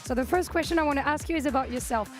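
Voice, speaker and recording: A woman's voice: sits high, racing, soft background music. A woman interviewing a player on stage after a match, upbeat and using frequent fillers.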